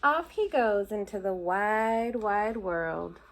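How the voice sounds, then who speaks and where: A woman's voice: medium pitch, animated, takes it slowly. A woman teacher reading a picture book aloud to young children, stopping to point out and explain the pictures. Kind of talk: storybook read-aloud for children.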